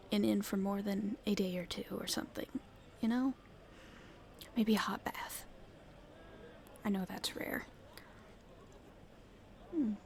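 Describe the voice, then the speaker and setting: A woman playing a cheeky young street thief in an ASMR roleplay, chatting casually and haltingly to the listener. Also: medium pitch, darkish tone, a little hiss or hum. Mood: hopeful. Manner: casual, halting speech with hesitations.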